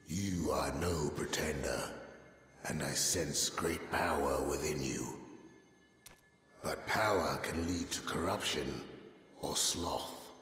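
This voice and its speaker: Imperious game warrior king, extremely low pitch, slow, solemn, cavernous reverb. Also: a bit bright, exaggerated voice. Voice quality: gravelly. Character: stern, commanding male immortal warrior king. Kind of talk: fantasy video game character acting. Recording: echoey hall with long reverb.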